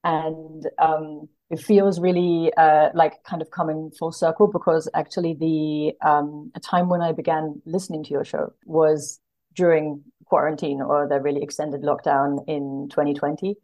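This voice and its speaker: A woman's voice: low voice, level tone, moderate pace, dark tone, low-quality recording. A woman speaking casually as a guest in a podcast interview. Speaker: podcast guest, literary translator.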